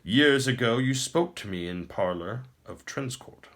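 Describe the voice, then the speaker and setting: Gloating tyrant wizard for fantasy audiobook man acting: raised pitch, wildly swooping, grand formal courtesy with mockery. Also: lively intonation, a little slow, fairly bright tone. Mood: amused. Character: gloating male wizard emperor, smooth-talking tyrant.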